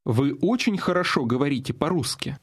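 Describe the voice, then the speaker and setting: A man's voice: a little high, expressive, fairly quick, breathy edge. A man narrating a scripted language lesson as the instructor, giving prompts in an instructional manner.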